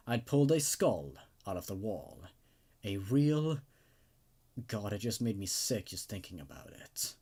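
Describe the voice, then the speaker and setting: Shaken horror-story protagonist played by a male reader, low-key and grave, recounting a creepypasta deliberately. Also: a little mellow, close to an everyday voice. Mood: tense, grim, then sickened. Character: shaken first-person narrator of a horror story. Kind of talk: dramatic creepypasta reading.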